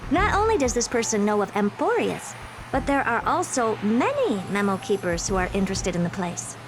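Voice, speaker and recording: An actress voicing a haughty, playful genius researcher in a video game, with a condescending, commanding and theatrical manner. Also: female voice, medium pitch, sing-song, moderate pace, background noise, lightly acted. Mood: smug, explanatory.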